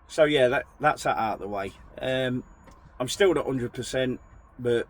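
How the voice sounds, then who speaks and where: A man talks to his viewers from his truck cab, his voice still croaky from a recent chest infection. He rambles in a friendly, apologetic way, pitched at an everyday middle register. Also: measured pace. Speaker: truck driver vlogger. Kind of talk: casual chat to camera.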